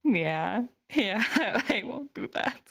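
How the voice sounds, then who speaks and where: A woman's voice: on the high side, animated, slow pace, vocal fry, husky, full character voice. A woman roleplaying a flighty, carefree young woman in a fantasy game, talking in a casual, rambling way. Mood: animated storytelling. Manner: rambling, giggly, trailing hesitant phrasing.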